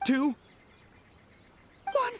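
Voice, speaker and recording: A man's voice: drawn-out words, a little hiss or hum. An actor playing a boastful, boisterous young male gang leader, brash and theatrical, in an English video game dub. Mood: scheming, excited. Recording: music behind the voice.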